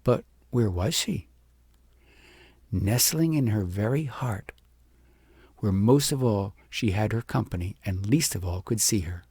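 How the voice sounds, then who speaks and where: A man reads a storybook aloud with dramatic, deliberate phrasing. His pitch moves widely, and the voice is husky and creaky at the edges. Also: lively intonation, darkish tone, dry recording. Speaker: audiobook narrator. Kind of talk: expressive storybook narration.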